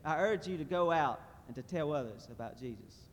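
A man's voice: on the high side, brisk, a little hiss or hum. A man giving a folksy church testimony about a mission trip, talking straight to the crowd. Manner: folksy, animated, addresses crowd. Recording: reverberant hall.

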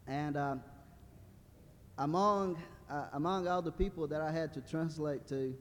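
A man in his thirties, originally from Brazil, giving a hesitant church testimony with many fillers and a wry humor. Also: a little slow. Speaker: mission trip translator. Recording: reverberant hall with low rumble.

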